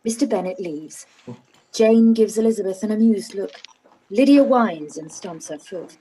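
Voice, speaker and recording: A woman narrating stage directions for a live-read radio play in a plain, even way. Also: medium pitch, normal speed, slight background noise, rough recording.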